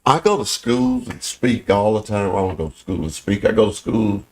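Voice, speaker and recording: A man's voice: lowish voice, expressive, moderate pace, a little hiss or hum. A middle-aged man from Pensacola hosting a local talk show, telling folksy stories in casual Southern speech. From Pensacola, Florida.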